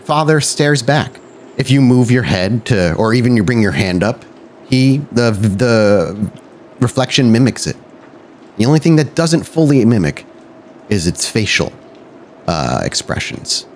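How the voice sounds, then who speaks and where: A man's voice: medium pitch, big pitch swings, a little slow, a little hiss or hum. A man working as a game master, narrating a tabletop roleplay podcast with dramatic, cinematic scene-setting.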